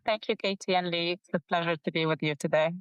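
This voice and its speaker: Smooth-voiced woman with a Lebanese accent. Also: fairly low pitch, fairly even intonation, quick pace, dry recording, lo-fi sound. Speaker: guest, nonprofit education executive. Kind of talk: interview answer recounting career.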